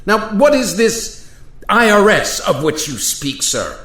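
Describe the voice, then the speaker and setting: A man's voice: high-pitched, big pitch swings, takes it slowly, bright, forceful tone, exaggerated voice. A man playing an indignant, courtly founding father in a radio play, speaking formally and emphatically, with incredulity. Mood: indignant, incredulous. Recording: roomy echo.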